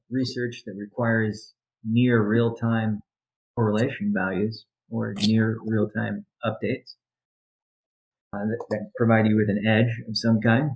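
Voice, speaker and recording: A man with a fairly low voice gives a business update in the role of a chief executive. He speaks deliberately, breaking his sentences into short, halting phrases as he works through conference call items. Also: darkish tone, poor audio.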